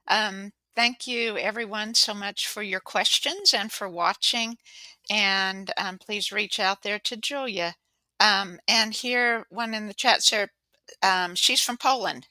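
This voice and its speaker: Bright voiced host lady on a video call, upbeat and chatty, dry close sound. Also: mid-range voice, unhurried, dry recording. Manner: upbeat and chatty, heavy on fillers and restarts. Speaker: podcast host. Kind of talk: casual interview chat.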